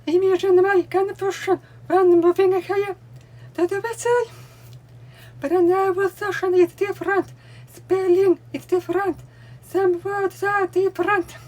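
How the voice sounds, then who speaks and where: A woman with a Russian accent, a native Russian speaker, explaining at home in a deliberate way while she signs along. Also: very high-pitched, flat delivery, darkish tone. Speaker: language learning vlogger. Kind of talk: explaining while showing books. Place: home room with bookcase, indoors.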